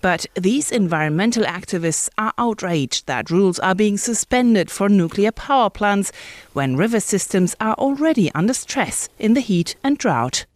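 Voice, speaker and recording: A woman's voice: mid-range voice, expressive, moderate pace, dry recording. A woman working as a news reporter, narrating a scripted broadcast report.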